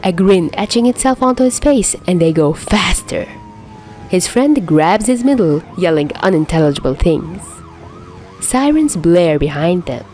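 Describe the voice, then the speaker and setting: A woman reading fan fiction aloud as an amateur narrator, in an expressive, dramatic storytelling style. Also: lowish voice, a little slow.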